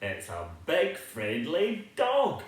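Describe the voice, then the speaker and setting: A man reads a picture book to children in a high, swooping voice. His delivery is slow and theatrical, with a sing-song lilt that invites listeners to join in. The room around him rings with heavy echo. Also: huge pitch swings. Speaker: storybook reader. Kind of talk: animated children's picture-book read-aloud. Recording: very echoey, reverberant room.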